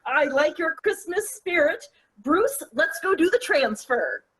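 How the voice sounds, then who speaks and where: A woman's voice: very high voice, sing-song, a little slow, fairly bright tone, exaggerated voice, poor audio. A woman playing a prim, skeptical mother of a grown son, with clipped delivery in a play reading. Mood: agreeable. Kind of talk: virtual table read of a stage comedy-drama.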